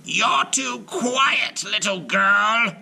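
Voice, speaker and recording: A man voicing a bossy, menacing old witch in a storybook, drawn-out and commanding, shifting between harsh whispers and shrieks. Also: high-pitched, creaky, bright, forceful tone, slight background noise, pitched above the performer's own voice, full character voice. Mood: scolding, menacing.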